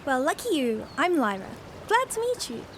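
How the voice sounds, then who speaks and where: Fisherwoman, female actor, radio drama: high voice, easygoing and welcoming, noisy watery ambience. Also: very animated, normal speed. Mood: breezy, welcoming. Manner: breezy, reassuring, friendly. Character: friendly, easygoing fisherwoman and generous local guide.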